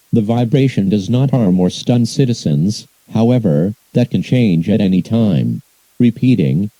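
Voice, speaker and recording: A man reading a scripted emergency broadcast announcement in a flat, formal, measured, synthetic-sounding manner. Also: on the low side, dry recording, low-quality recording.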